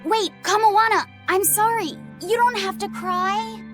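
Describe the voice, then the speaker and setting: Kindly game boy, hesitant, gentle, high-pitched, unhurried, soft music behind. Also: steady tone. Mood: apologetic. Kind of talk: video game acting.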